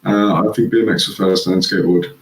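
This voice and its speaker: A rambling man from England chatting as a guest. His voice sits on the low side, and it rings around a noticeably echoey room. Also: steady tone, quick pace. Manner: rambling, laughs at own stories. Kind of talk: casual chat.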